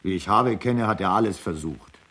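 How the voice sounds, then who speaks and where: A man's voice: deep voice, a little fast, a bit bright, breathy edge. An actor playing a loyal friend who insistently defends his comrade in a radio play.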